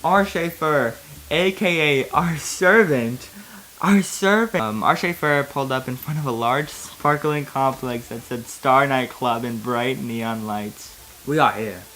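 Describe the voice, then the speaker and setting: A man's voice: mid-range voice, unhurried, fairly bright tone, a little hiss or hum. A man giving a casual, comedic dramatic reading of fan fiction, breaking off into amused, wry asides. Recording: music behind the voice, fluctuating quality. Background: music.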